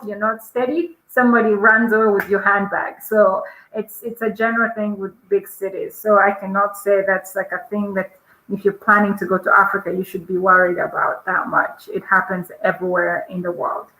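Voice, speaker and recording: A woman's voice: medium pitch, little pitch movement, normal speed, sharp tone. A woman hosting a panel on a video call, chatting casually with frequent fillers. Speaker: host. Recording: roomy echo.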